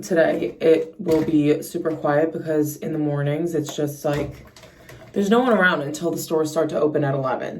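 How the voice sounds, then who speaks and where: Low, slightly raspy young woman vlogger. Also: darkish tone, recorded from a distance. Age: young adult. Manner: chatty, informal, frequent "like". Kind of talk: casual chat to camera while getting ready. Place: indoors at home.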